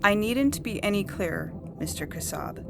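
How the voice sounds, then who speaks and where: A woman's voice: mid-range voice, lively intonation, moderate pace, very noisy, natural voice. A woman playing a coldly official, unyielding judge in a dramatized science fiction story reading, lecturing formally. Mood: dismissive, official. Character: coldly official female judge, zealous about majority rule. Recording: loud music bed under the voice.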